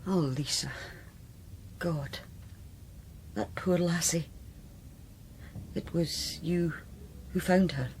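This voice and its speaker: An actress playing a brittle, anguished sister in a radio drama, with a Scottish accent and a halting, agitated, bitter delivery. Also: female voice, on the low side, darkish tone, a little hiss or hum. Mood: distressed, bitter. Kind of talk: naturalistic radio drama acting.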